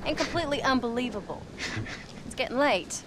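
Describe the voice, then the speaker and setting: A woman's voice: high-pitched, expressive, a little fast, background noise. An actress playing a feisty, sarcastic frontier wife and mother in a video game, with theatrical teasing. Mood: wry. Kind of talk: video game cutscene acting.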